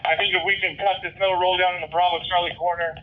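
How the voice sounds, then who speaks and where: A man's voice: sits high, flat delivery, quick pace, faint music behind. A man working as a firefighter, reporting to command over a two-way radio in clipped procedural phrases. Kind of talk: fire radio traffic. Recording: narrow tinny fireground radio transmission.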